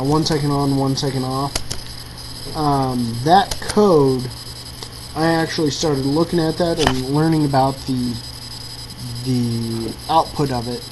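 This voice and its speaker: A woman giving a casual, improvised walkthrough of a hobby project, hesitant and thinking aloud. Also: low voice. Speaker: hobbyist maker showing an electronics project. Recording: clearly noisy with steady mains hum.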